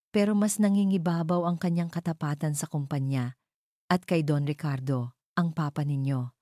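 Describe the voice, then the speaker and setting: A woman's voice: low voice, warm tone, no room sound, clean studio sound. A woman reads a scripted, dramatic first-person story as its narrator.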